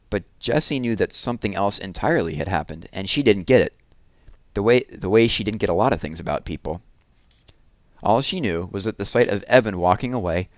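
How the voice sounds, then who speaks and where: A man reading a children's book aloud in an expressive storytelling style, leaning on key words for drama. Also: lowish voice, no room sound.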